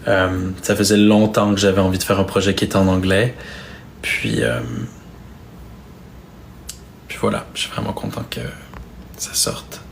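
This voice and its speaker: Soft-spoken young Québécois man. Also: deep voice, normal speed, vocal fry, long pauses, slight background noise. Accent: Québécois French. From Quebec, Canada. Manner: soft-spoken, hesitant, with many fillers and restarts. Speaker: singer streaming live to fans. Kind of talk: casual live-stream chat. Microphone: phone or webcam on a live stream.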